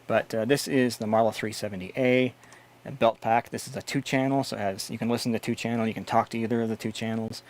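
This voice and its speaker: A man's voice: mid-range voice, steady tone, fast, darkish tone. A man hosting a gear video, explaining products in a casual, conversational way.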